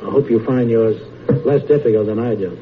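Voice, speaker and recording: A man hosting a quiz show, teasing his guests with quick jokes. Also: on the low side. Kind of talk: wisecracking quiz show banter. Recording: tinny old broadcast with heavy hiss.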